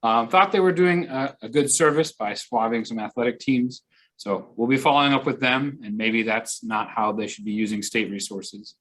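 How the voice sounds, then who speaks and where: A man gives a client services update on a conference call. His voice is medium in pitch, and he talks casually with a lightly wry touch. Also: fairly even intonation, normal speed, fairly bright tone, rough recording. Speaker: client services staff member. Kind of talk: informal work update on a call.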